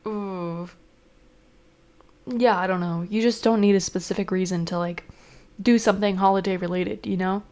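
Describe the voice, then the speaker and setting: A woman's voice: medium pitch, steady tone, normal speed, long pauses, darkish tone. Young woman, stuffy nose, sniffly, chatty, rambling, venting. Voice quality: rambling, frequent fillers. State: congested, sniffling. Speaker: vlogger. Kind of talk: casual chat.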